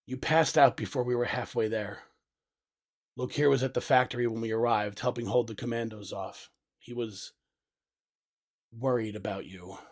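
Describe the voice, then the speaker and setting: A devoted young-hearted male lover, played by an actor talks in a medium-pitched voice in a space audio drama. He is attached to his captain and a touch jealous. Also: steady tone, normal speed, pauses often, a little mellow, natural voice. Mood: concerned, tender.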